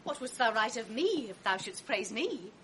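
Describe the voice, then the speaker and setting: An actress playing the spirited young wife of a general in a 1980s radio drama, gracious and playfully teasing. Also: female voice, fairly high pitch, sing-song, moderate pace, pushed and bright, slight background noise.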